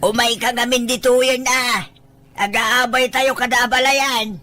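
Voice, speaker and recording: A woman's voice: fairly high pitch, fairly even intonation, measured pace, bright, forceful tone, a little hiss or hum. A woman acting in an Ilocano audio drama as an impatient relative who prods, questions and hurries people. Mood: insistent.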